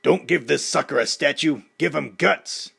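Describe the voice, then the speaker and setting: A man voicing a mocking male heckler yelling from a crowd. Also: fairly high pitch, normal speed, creaky, breathy edge, voice pushed up, exaggerated voice. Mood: jeering.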